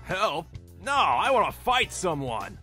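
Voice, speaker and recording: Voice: medium pitch, big pitch swings, slow, bright, forceful tone, heavily acted. A performer voicing a cocky male echidna brawler who is itching to fight and taunts his rival, with music playing behind. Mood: taunting. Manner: boastful, taunting. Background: background music.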